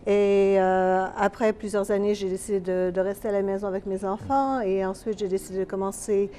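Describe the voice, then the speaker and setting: A woman with a Quebec French accent in a casual TV interview, explaining in a measured way with fillers. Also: mid-range voice, fairly even intonation, warm tone, a little hiss or hum. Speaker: school programs coordinator.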